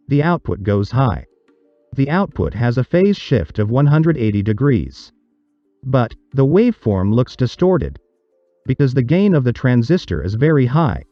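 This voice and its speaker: A man reading a scripted explanatory narration for a tutorial, in a measured, didactic, step-by-step manner. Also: mid-range voice, dry recording, lo-fi sound.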